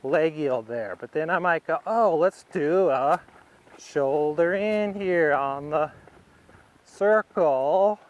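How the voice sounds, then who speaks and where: A man with a smooth, slightly high voice talks through a riding demonstration as an instructor. He takes his time, laying out each step in short phrases as if thinking aloud, at a markedly slow pace. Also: dull audio.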